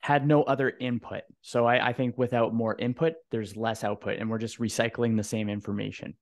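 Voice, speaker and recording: Man, 30s, podcast host, measured questions, middle pitch, studio mic, home room. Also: level tone. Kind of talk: interview questions. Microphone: studio mic with headphones.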